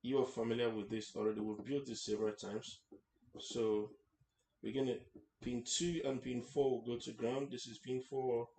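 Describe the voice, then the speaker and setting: A man teaching a hands-on technical tutorial in an informal way, haltingly, often repeating and rephrasing his words. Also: mid-range voice, flat delivery, darkish tone. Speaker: instructor hosting an electronics tutorial series. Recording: echoey room, faint background noise.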